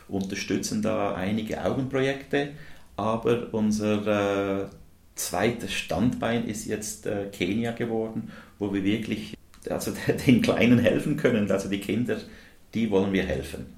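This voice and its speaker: Man with many pauses, roomy sound. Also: on the low side, darkish tone. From India. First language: English. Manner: hesitant, many filler sounds. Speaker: guest, dental technician, charity volunteer. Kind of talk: interview answers. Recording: roomy echo.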